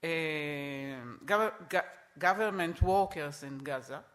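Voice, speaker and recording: Guy talking on a panel, pretty high voice, keeps restarting, hammers his points. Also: fairly bright tone. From Israel. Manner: emphatic, repeats points, halting restarts. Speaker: conference panelist. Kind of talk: conference panel talk.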